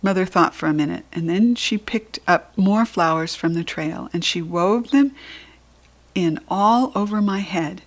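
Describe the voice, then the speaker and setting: A woman's voice: fairly low pitch, a little slow. American woman reading a children's story expressively. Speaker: storybook reader.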